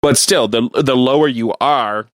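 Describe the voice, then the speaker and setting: A man co-hosting a radio show, chatting casually about sports and explaining things in a halting way. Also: mid-range voice, no echo, clean studio sound. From Canada. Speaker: radio co-host.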